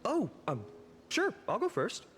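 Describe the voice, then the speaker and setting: A man's voice: very high voice, huge pitch swings, normal speed, fairly bright tone, long reverb tail, heavily acted. A man voicing a tall, dashing young man in a video game, speaking lightly and nostalgically. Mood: nostalgic. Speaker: game character.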